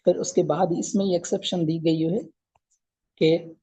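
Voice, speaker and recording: A man giving an informal explanatory lecture, haltingly and with repetition, mixing English legal terms into his speech. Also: a little high, little pitch movement, dark tone, husky. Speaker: law lecturer.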